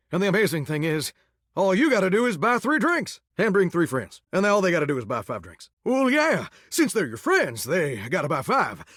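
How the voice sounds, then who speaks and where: An actor voicing a lovesick talking shower in a game, with a drawl and a folksy, earnest way of talking. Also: male voice, high-pitched, very animated, moderate pace, fairly bright tone, no echo, studio quality, full character voice. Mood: wheedling. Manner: folksy, earnest and sweetly corny. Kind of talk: video game character dialogue.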